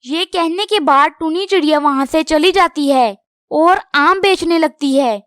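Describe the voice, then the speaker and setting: A woman's voice: sits high, a little slow, a bit bright, no echo, studio quality. A woman narrating a scripted children's cartoon story.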